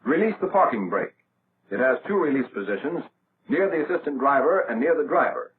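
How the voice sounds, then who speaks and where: An American man narrating a 1940s military training film in a measured, instructional way, as a scripted voice-over. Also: mid-range voice, lively intonation. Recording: tinny, band-limited, noisy old film soundtrack.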